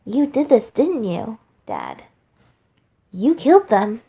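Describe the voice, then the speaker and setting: A woman's voice: a little high, wildly swooping pitch, slow, warm tone, slightly rough, exaggerated voice. A woman voicing a cruel young male flower monster, falsely sweet and mocking, in a storybook reading. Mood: accusing. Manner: sing-song, falsely innocent, taunting.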